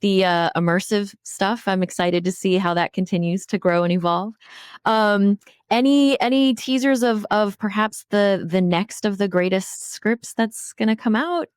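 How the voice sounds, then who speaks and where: A woman's voice: medium pitch, normal speed, smooth, no room sound, studio quality. A woman hosting a podcast interview in a conversational style. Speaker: podcast host.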